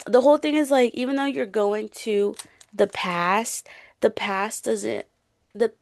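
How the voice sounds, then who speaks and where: A woman's voice: a little high, unhurried, vocal fry, a little mellow, no room sound. A woman chatting casually and emphatically about books as a podcast co-host, in an informal way. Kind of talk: casual book chat.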